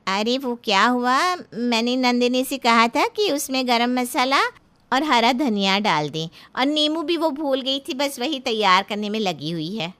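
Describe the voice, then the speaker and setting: Bright, high-pitched flustered mother played by a woman. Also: lively intonation, a little slow. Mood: flustered, placating. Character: middle-aged Indian mother, flustered hostess, scolding.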